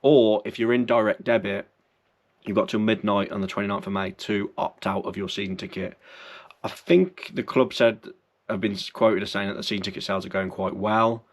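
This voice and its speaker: A man chatting casually through football club news, slightly croaky and under the weather, rambling and correcting himself as he goes. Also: lowish voice, fairly even intonation, normal speed. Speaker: football fan channel host. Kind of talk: casual fan news chat.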